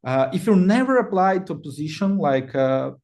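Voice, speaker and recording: A man's voice: on the high side, animated, normal speed, a little mellow. Brazilian man giving a talk. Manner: talkative, many fillers and restarts. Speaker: webinar speaker. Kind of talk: casual presentation.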